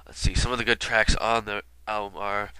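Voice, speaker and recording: A man's voice: lowish voice, fairly even intonation, a little fast, pushed and bright, a little hiss or hum. A man with a stuffy nose from being sick, commenting casually and rambling with lots of fillers. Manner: rambling, many fillers and asides. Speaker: video commentator. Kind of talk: casual album retrospective commentary.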